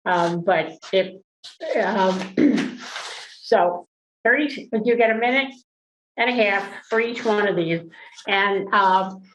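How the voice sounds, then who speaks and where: Woman moderating a forum in an echoey room. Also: medium pitch. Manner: halting, with many fillers and restarts. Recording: echoey room with faint noise.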